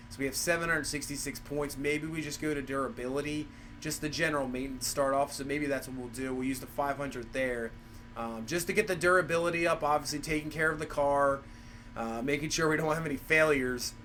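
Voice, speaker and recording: A man's voice: fairly high pitch, steady tone, brisk, bright, forceful tone, slight background noise. A man with a cold, rambling through casual gameplay commentary and leaning on fillers like "obviously" and "for sure". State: has a cold. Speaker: gaming channel host.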